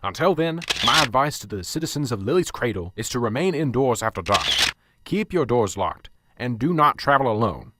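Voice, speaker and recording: A man's voice: medium pitch, big pitch swings, normal speed, heavy background noise, natural voice. An actor playing an exasperated male police sergeant in an amateur radio drama. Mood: weary. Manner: curt, exasperated. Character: gruff weary male small-town police sergeant.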